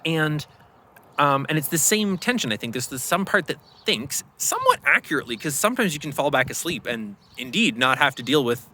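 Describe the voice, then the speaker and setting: A man in casual, reflective conversation, animated and prone to acting out inner voices as he explains. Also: a little high, brisk, a little hiss or hum.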